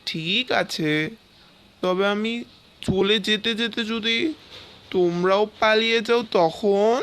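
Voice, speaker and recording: A woman as an imperious cartoon lioness, mid-pitched. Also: takes it slowly, a bit bright, slight background noise. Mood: suspicious. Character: predatory lioness, proud wife of lion king. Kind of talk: animated fable character acting.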